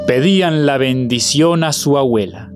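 A woman playing the narrator of a folk legend in a radio play, with a Colombian accent and measured, expressive delivery. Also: extremely low pitch. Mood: solemn, gentle. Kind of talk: scripted theatrical radio narration. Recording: music behind the voice.

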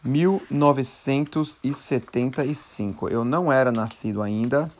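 A man's voice: mid-range voice, unhurried. A man in his thirties with a Brazilian Portuguese accent, hosting a casual solo podcast and rambling as he corrects himself. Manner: chatty, rambling, self-correcting. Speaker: podcast host.

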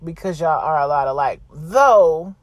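A woman's voice: low voice, lively intonation, unhurried, no echo. A woman podcast host chatting casually and confessionally, blunt, slangy and freely swearing.

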